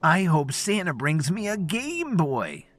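A man's voice: on the high side, wildly swooping pitch, takes it slowly, a bit bright, voice pushed up, exaggerated voice. Man playing a middle-aged actor doing a raised, hopeful kid voice. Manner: childlike, hopeful. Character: middle-aged man acting as a young boy.

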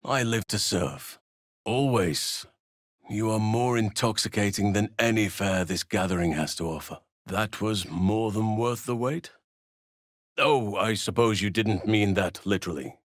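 An actor playing a gentle, earnest druid and guardian of children in a fantasy game, speaking in formal, measured, courtly phrases. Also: male voice, fairly low pitch, no room sound, clean studio sound, close to an everyday voice. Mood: tender, sincere.

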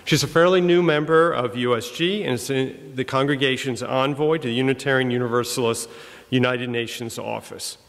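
An older man introduces a speaker formally, reading in a halting way. His voice has a creaky, gravelly edge. It carries through a big hall with long reverb. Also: mid-range voice, fairly even intonation, fairly bright tone. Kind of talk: formal introduction read from notes. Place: large wood-panelled church hall. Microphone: lectern gooseneck microphone.

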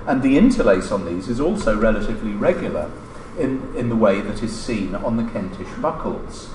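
A man reading a formal academic conference paper aloud in a measured, scholarly way, pausing mid-phrase. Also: on the low side, darkish tone. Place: indoor lecture hall, at a lectern. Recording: echoey lecture room, distant mic, background noise.

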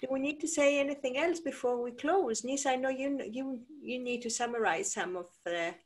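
A woman whose first language is Greek, moderating an online panel in a reflective, halting way over a video call. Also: high-pitched. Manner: reflective, halting with many fillers.

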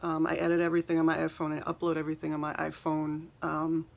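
A soft-spoken woman in her thirties chatting casually while doing her makeup, rambling and saying "um" a lot, recorded on a phone in a small bedroom. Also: low voice, monotone, fast talker, creaky. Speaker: beauty vlogger. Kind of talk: casual get-ready-with-me chat. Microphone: phone camera at arm's length.

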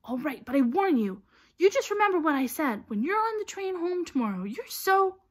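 A woman's voice: on the high side, wildly swooping pitch, normal speed, pushed and bright, pitched above the performer's own voice, exaggerated voice. A woman voicing a bossy, indignant schoolgirl in an audiobook, snappish and scolding. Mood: hissing indignation.

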